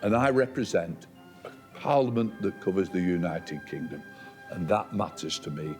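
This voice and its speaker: A man's voice: deep voice, moderate pace, a little mellow, soft background music. A man with a Lancashire accent, a member of parliament speaking in an emphatic, declarative way. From northern England. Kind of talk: proud interview statement.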